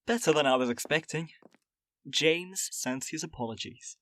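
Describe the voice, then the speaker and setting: An actor playing a weary but gentle male ex-agent in an audio drama, speaking in an understated, measured way. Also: fairly high pitch, slight background noise, lightly acted. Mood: gentle, apologetic.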